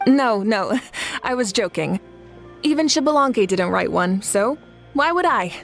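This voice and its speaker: Calm gracious fire goddess, laughs easy, soft music under. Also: female voice, on the high side, unhurried, gravelly. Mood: amused. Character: adult female fire goddess ruler, warm and confident. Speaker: Pyro Archon. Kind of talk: game dialogue.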